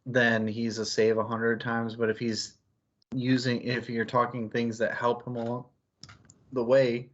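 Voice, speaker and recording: A man's voice: mid-range voice, level tone, unhurried. Male book club host, casual and analytical, talks around his points; slightly dull stream audio.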